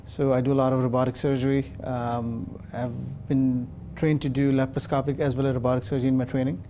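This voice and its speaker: A middle-aged man from Pakistan talks in a warm, mellow tone of middling pitch. He explains things step by step, often pausing on uh and um. He is recorded on a lapel mic in a television studio. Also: level tone, slight background noise. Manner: measured, explanatory, frequent uh and um fillers. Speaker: urologist guest. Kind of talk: studio interview answers.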